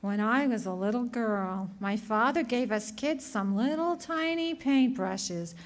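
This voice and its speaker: A woman's voice: fairly high pitch, expressive, measured pace. A woman acting a sweet, dim, cheerful young woman in a 1940s radio comedy, guileless and airheaded.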